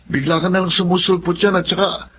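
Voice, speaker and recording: A man's voice: high voice, little pitch movement, fairly quick, a bit bright. A man acting a teasing, bossy but concerned teenage older brother in a Tagalog radio drama. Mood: startled, scolding. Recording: dull lo-fi audio, faint background noise.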